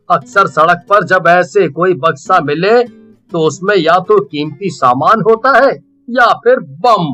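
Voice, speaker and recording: A man's voice: sits high, bright, forceful tone, exaggerated voice. A man playing a stubborn, easygoing young village shopkeeper who argues and grumbles in a dramatised audio story. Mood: puzzled, grumbling.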